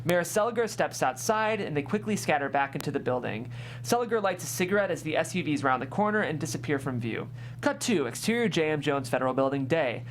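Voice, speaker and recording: A woman hosting and narrating, speaking in a clear presenter's way as she reads or introduces. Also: low voice, expressive, fast, slight background noise. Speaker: host and stage-directions narrator. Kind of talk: scripted narration and interview hosting.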